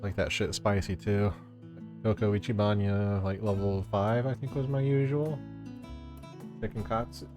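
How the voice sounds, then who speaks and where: Man, low voice, casual game streamer, slangy, swearing, offhand commentary, clear music behind. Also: fairly even intonation, moderate pace.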